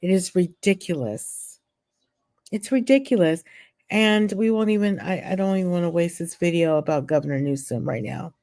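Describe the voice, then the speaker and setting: A woman vlogger who reads political news aloud haltingly, stumbling over names, then breaks into chatty opinions of her own. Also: fairly low pitch, warm tone. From California. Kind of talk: reading news aloud and commenting.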